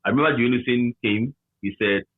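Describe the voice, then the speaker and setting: A Ghanaian man reminiscing conversationally as an interviewee, with a husky voice and a habit of repeating phrases for emphasis, over a tinny line. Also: medium pitch, quick pace, no echo. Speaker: interviewee, former campus radio manager.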